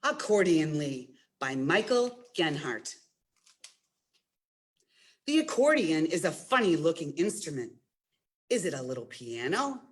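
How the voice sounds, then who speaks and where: Lower-pitched woman hosting children's storytime. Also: very animated, measured pace, long pauses, slightly rough. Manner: addresses young children directly, encouraging. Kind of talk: children's storytime presenting and picture book reading.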